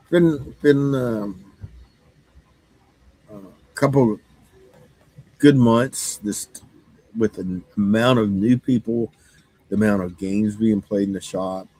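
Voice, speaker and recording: Hoarse deep-voiced man rambling casually. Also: a little mellow, no echo, rough recording. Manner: halting, many fillers and restarts. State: hoarse, losing his voice. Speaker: game store owner. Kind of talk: casual monologue.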